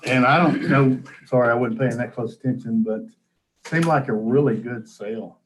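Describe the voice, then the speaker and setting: Over faint background noise, a man with a medium-pitched voice runs a public meeting. He moves through procedure informally and courteously, addressing each speaker with respect. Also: moderate pace. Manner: courteous, informal, says sir and ma'am. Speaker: presiding official of a meeting. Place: county meeting room. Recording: faintly noisy meeting room microphone.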